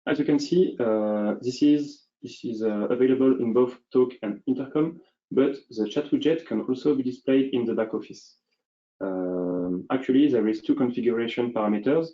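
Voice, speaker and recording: Guy doing a software walkthrough, mellow voice, kinda hesitant, slightly muffled call audio. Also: medium pitch, moderate pace. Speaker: software demo presenter. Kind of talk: explanatory software demo, unscripted.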